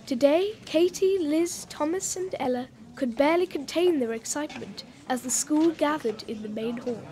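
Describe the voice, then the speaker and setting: A woman's voice: high voice, moderate pace, darkish tone, a little hiss or hum. A woman narrator reading scripted children's radio play narration in a storytelling style.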